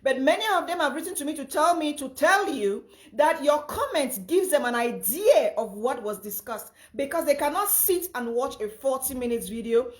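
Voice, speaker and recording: Hoarse young woman, fairly high voice, livestream host, repeats herself, greets viewers. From Cameroon. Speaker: live chat host. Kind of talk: casual livestream talk.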